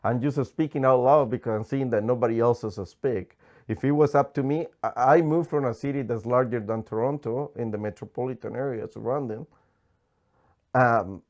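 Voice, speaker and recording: A man's voice: mid-range voice, darkish tone, dull audio. A man from Colombia with a Colombian Spanish accent, a native Spanish speaker, talking passionately and emphatically as a guest economist in an interview. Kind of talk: candid political interview.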